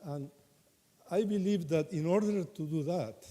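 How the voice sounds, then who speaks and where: A man addresses a conference as a Buddhist monk, earnest and stumbling into repeats. His voice sits a little high and sounds soft and dark. It rings through a large hall over a faint hiss. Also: a little slow. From Argentina. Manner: earnest, repeats himself, restarts. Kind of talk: conference speech. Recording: hall reverb with faint noise.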